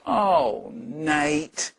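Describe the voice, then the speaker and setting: A man voicing a weary, reproachful grown woman in a children's storybook, sighing and gently scolding. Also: fairly high pitch, big pitch swings, very slow, vocal fry, exaggerated voice.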